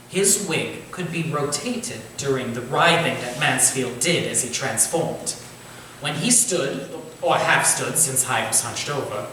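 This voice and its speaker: A man's voice: medium pitch, sharp tone. A man playing a learned Victorian theatre manager, speaking formally with dry wit in a staged reading. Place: echoey room or small theatre, staged reading. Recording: roomy, distant stage recording, faint noise.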